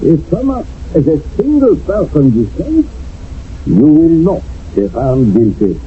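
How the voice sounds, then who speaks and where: A man's voice: a little high, sing-song, measured pace. An actor playing a stern, formal chairman of a wartime village court in a radio drama. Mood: solemn. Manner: formal, solemn, judicial. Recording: lo-fi old radio broadcast, faint noise.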